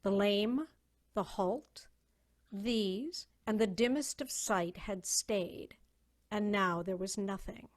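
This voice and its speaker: A woman narrating a story in a solemn, measured, theatrical way, as a dramatic reading over a video call. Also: medium pitch.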